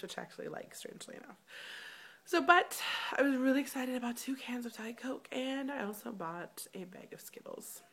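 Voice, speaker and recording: A woman in her thirties speaking candidly in a casual personal vlog, her voice slightly rough, with many ums. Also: mid-range voice, fairly bright tone. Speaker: vlogger. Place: hotel room.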